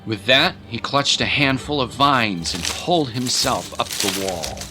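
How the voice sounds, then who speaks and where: A man's voice: mid-range voice, lively intonation, a little slow, over music. A man reading scripted, dramatic story narration as the narrator of an audio drama.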